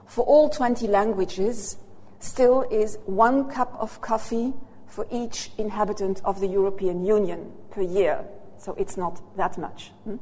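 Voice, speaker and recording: A woman's voice: mid-range voice, darkish tone. Flemish-accented woman lecturing in a reverberant hall. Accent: Flemish Dutch. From Belgium. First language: Dutch. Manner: checks in with the audience through short "hmm?" and "yeah?" tags. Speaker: university lecturer.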